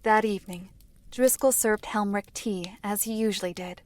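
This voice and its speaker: A woman's voice: mid-range voice, normal speed. A woman reading scripted dramatic narration for a story.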